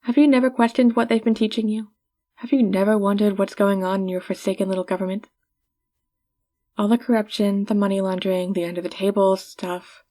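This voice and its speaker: A woman's voice: medium pitch, little pitch movement, brisk, dark tone, natural voice. A woman playing a haughty supervillain in an ASMR roleplay, condescending and teasing toward the listener but secretly caring. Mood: persuasive, bitter.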